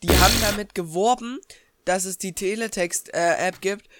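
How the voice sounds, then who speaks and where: Teen boy co-host, slightly congested, high-pitched, dry close sound. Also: moderate pace, a bit bright, dry recording. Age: teens. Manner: chatty, eats while talking. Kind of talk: casual chat.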